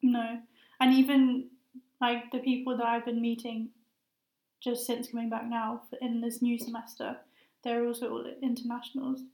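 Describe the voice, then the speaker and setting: A young woman in her twenties with a high voice, a master's student answering interview questions in a hesitant, thoughtful way with many fillers. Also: level tone, moderate pace, vocal fry, mellow. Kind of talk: casual conversational interview. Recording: roomy echo.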